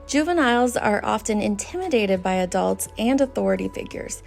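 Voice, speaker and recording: A woman's voice: mid-range voice, expressive, brisk, creaky, soft background music. A woman hosting a commentary channel, reading a scripted voice-over in an earnest, critical way. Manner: reads from notes, critical and earnest.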